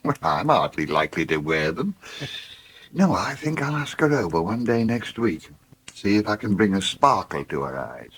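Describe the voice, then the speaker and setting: Old English farmer, husky, lively intonation, sentimental, halting, radio soap. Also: male voice, fairly low pitch, heavily acted. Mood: tender. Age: older. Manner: reminiscing, halting, chuckling. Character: sentimental old farmer, wistful about bygone days. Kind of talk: naturalistic radio soap acting.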